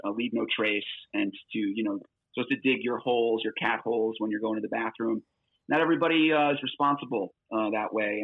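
A man's voice: mid-range voice, dry recording. Man, hiker guest, tinny phone sound, dry, earnest, lots of um. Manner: earnest, filler-heavy. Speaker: guest, long-distance hiker. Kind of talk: conversational interview.

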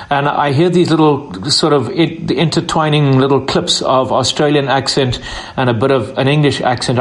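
A male interviewer with a mid-range voice who frames his questions conversationally and leads his guest through memories. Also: mid-range voice. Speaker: interviewer, host.

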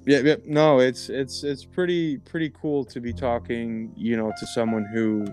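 A man's voice: mid-range voice, little pitch movement, normal speed, a little mellow, soft background music. An American man of about forty from upstate New York, telling his story informally as an interview guest. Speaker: interview guest, army veteran. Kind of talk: casual personal storytelling.

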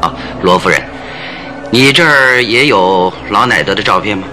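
Actor doing a composed detective in a radio drama, inquisitive, lowish voice w/ big melodic swings. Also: male voice, lively intonation, over music, natural voice, poor audio. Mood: musing, grave. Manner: deliberate, inquisitive, with thoughtful hums. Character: probing, composed male detective questioning a witness. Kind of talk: radio play acting.

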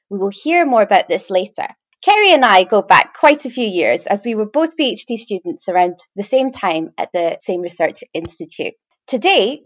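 A woman's voice: medium pitch, no room sound. A woman hosting an academic podcast interview, speaking reflectively with frequent restarts. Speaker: podcast host.

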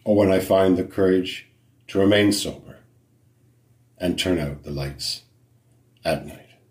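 A man with a low, deep voice recites verse in a solemn, measured way. He moves very slowly, leaving dramatic pauses between phrases, and the room around him rings with echo. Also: darkish tone. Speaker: poet.

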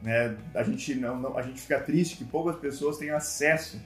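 Brazilian guy cohosting a football podcast, excited and rambly, echoey room. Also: medium pitch, moderate pace, soft background music. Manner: chatty, enthusiastic, many fillers. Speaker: podcast co-host. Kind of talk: casual football podcast chat.

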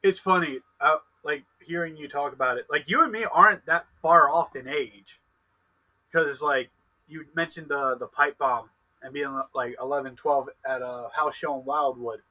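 Bright-voiced young male podcast host. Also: on the high side, moderate pace, rough recording. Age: 20s. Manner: eager, stumbling restarts. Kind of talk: casual podcast interview.

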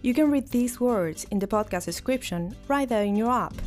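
A woman narrating a story for language learners at a slow, deliberate pace, carefully enunciating each word. Soft music plays faintly beneath her voice. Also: a little high, lively intonation. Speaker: podcast host and story narrator.

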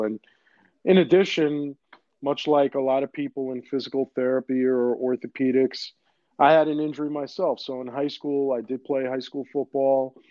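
Surgeon guest from New York, Greek native speaker; warm low tone, dry close sound, explanatory and unhurried. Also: male voice, dry recording, lo-fi sound. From Queens, New York. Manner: measured, explanatory, with frequent "uh" and "um". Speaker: orthopedic surgeon, podcast guest. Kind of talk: conversational interview answers.

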